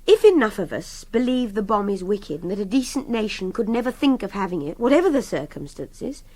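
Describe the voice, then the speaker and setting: A woman's voice: mid-range voice, sing-song, brisk, mellow. An actress playing a defiant, superior young captive woman with an English accent, articulate and impatient, in radio drama dialogue. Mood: exasperated, argumentative. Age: young adult.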